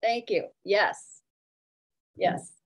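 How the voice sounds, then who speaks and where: A woman speaking as a Zen teacher in an informal discussion over a video call, reflectively and in short phrases with pauses. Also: mid-range voice. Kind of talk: spontaneous dharma talk and discussion.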